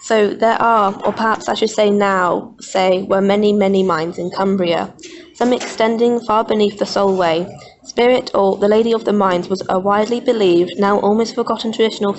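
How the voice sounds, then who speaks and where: A woman's voice: mid-range voice, fairly even intonation, moderate pace. A woman student talking through research for a radio drama project. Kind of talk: reading research aloud, then casual discussion. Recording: dull lo-fi recording, faint noise.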